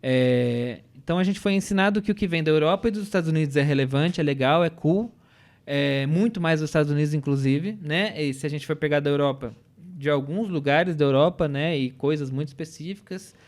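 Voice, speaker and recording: A man's voice: fairly high pitch, brisk. A young adult man with a Brazilian accent, giving strong opinions in a casual panel chat, tagging sentences with "né?". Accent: Brazilian Portuguese. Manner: opinionated, emphatic, many "né?" and "entendeu?" tags. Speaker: podcast panelist.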